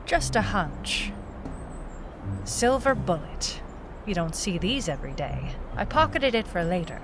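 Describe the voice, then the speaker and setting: A woman's voice: mid-range voice, sing-song, unhurried, noisy background. A woman playing a wry, hard-boiled private eye, clipped and dry, in a noir detective audio drama. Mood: wry, brooding. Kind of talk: noir detective narration and radio-drama acting.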